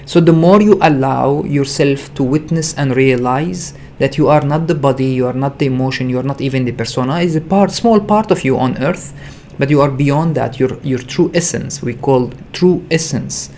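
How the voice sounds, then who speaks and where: A man's voice: medium pitch, moderate pace, hardly any pauses, dark tone, a little hiss or hum. A man hosting a livestream as a spiritual teacher, talking unscripted in an earnest way, repeating and rephrasing his ideas with frequent hesitations. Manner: earnest, repetitive, searching phrasing with frequent hesitations.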